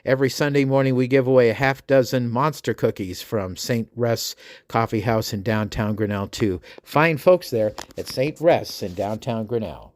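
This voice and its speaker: A man's voice: mid-range voice, moderate pace, a little mellow, no echo. An American man hosting a podcast in a folksy, chatty way, reading sponsor messages and interviewing guests. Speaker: podcast host. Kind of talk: sponsor reads and conversational interviewing.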